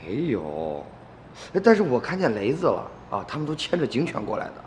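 A man playing a brash, irritable crook with a gruff, scoffing, colloquial way of talking in a radio drama. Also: mid-range voice, huge pitch swings, a little fast, big-room sound, slight background noise. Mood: nervous, placating. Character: brash, irritable adult male crook, second in a small gang.

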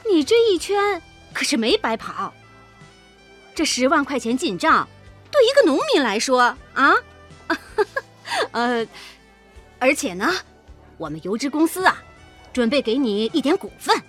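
Female company schemer, high-pitched, lively intonation, wheedling, snobbish, exclamatory, radio drama. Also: unhurried, long pauses, faint music behind, heavily acted. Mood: coaxing. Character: brisk, coaxing, snobbish businesswoman and scheming partner. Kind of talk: Chinese radio drama acting.

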